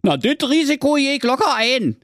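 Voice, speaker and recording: A man voicing a cocky, brash young man in a comic fairy tale, in a raised, high voice. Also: big pitch swings, a little fast, fairly bright tone, no room sound, clean studio sound, full character voice.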